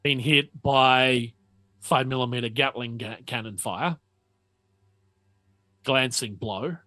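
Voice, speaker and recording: A man runs a tabletop roleplaying game in a bright, forward voice. He takes his time, feeling out each description conversationally. The sound is close and dry. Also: mid-range voice, no room sound. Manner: halting, conversational scene-setting. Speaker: game master. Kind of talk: improvised tabletop game narration.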